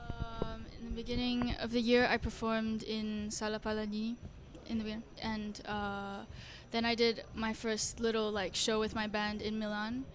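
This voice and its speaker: A woman's voice: on the high side, monotone, normal speed, few pauses. A teenage girl from Singapore, a young singer answering interview questions in a shy, hesitant way with many fillers. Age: teens.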